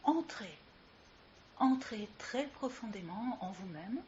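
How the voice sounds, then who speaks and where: Female yoga nidra guide, very slow and soothing, muffled live recording with audible hiss. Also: medium pitch, lively intonation, a little mellow. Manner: soothing, unhurried instructions with long pauses. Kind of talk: guided relaxation meditation. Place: live session with ambient sound.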